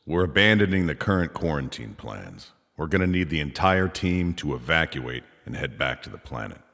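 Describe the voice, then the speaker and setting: A man playing a curt, menacing sci-fi commander with a gravelly, clipped, authoritative delivery in an audio drama. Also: extremely low pitch, sing-song, moderate pace, a bit bright, full character voice. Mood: stern, commanding. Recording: long reverberant hall echo.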